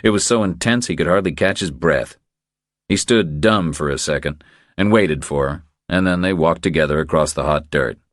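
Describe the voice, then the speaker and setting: A man reading scripted audiobook narration in a measured storytelling manner. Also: very deep voice, dry recording, clean studio sound.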